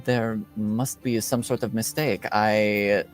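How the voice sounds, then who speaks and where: A man's voice: fairly low pitch, fairly even intonation, takes it slowly, soft background music. A man voicing a flustered, defensive university dean in a tabletop game, stammering and hesitant. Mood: defensive, confused.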